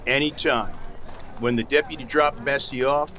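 An actor playing a cordial, grateful frontier town doctor in a western radio drama. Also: male voice, medium pitch, steady tone, moderate pace, sharp tone, natural voice. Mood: friendly, grateful. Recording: outdoor street ambience, noisy, slightly distant.

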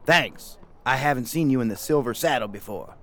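A man's voice: mid-range voice, lively intonation, normal speed, a bit bright, close to an everyday voice. A man playing a blunt, boastful gold prospector in an audio drama. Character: prospector Grant Reynolds, proud of his gold, touchy. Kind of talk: radio drama acting.

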